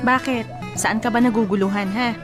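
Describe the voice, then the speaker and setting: A woman voicing a nosy, excitable young female cousin who gushes and giggles. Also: on the high side, a little fast, lightly acted. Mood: excited. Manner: gushing, giggly, gossipy. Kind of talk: radio drama acting. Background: background music.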